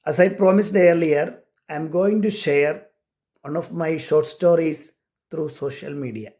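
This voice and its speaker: A man with an Indian accent, a non-native English speaker, talking earnestly and deliberately as he presents and reads his own writing. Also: fairly high pitch, a little mellow. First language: not English. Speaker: amateur short story writer and care worker. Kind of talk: personal introduction and reading aloud of own short story.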